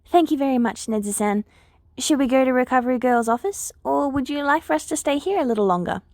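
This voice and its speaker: A woman's voice: high-pitched, animated, fast talker, darkish tone, no room sound, clean studio sound. A woman voicing an earnest, eager older girl, a polite and persuasive hero student in a story. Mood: polite, gentle.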